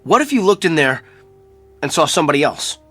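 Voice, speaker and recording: An American actor playing a troubled, brusque office executive, terse and probing, with sardonic irony in a naturalistic drama. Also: male voice, fairly high pitch, very animated, fairly quick, pushed and bright, husky, soft background music, exaggerated voice. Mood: probing, tense.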